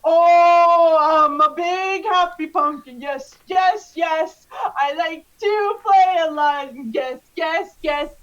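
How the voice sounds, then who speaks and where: A woman voicing a big, gentle, lonely male pumpkin creature who talks in an eager, childlike way. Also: very high-pitched, slow, hardly any pauses, highs cut off, voice pushed up. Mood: joyful.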